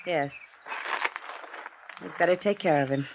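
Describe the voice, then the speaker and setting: An actress playing a desperate, scheming femme fatale in a 1950s radio drama, intense and melodramatic. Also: female voice, low voice, a little slow, vocal fry, dull audio, noisy background. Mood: uneasy, wary.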